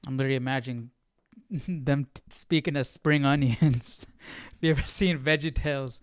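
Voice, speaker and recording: A man hosting a live Bible study with casual, animated commentary, thinking aloud in halting bursts. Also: medium pitch, no echo, studio quality.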